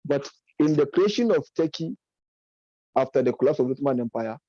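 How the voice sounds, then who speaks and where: A man's voice: mid-range voice, lively intonation, warm tone, no room sound, highs cut off. A man giving an unscripted lecture-style commentary, arguing step by step and correcting himself as he goes. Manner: argumentative, self-correcting, measured delivery. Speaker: speaker on politics and religion.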